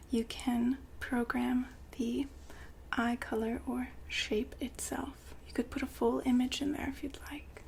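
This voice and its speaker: A woman's voice: sits high, darkish tone, slight background noise. A woman playing a courteous, soothing saleswoman in a futuristic android shop, in a whispered ASMR roleplay with polite customer-service manners.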